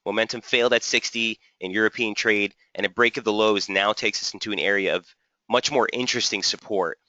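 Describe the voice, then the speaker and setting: A man hosting a live trading webinar, slightly hoarse, walking through charts and answering viewers' typed questions. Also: on the low side, steady tone, fairly quick, pushed and bright, no echo, muffled recording. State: slightly hoarse, losing his voice. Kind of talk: live market analysis webinar.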